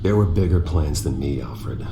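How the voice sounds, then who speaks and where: A man's voice: a bass voice, lively intonation, moderate pace, noisy background. An actor playing a brooding, exhausted young male billionaire hero who talks tersely and with determination. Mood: regretful. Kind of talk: video game voice acting. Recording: echoey game cutscene audio with music.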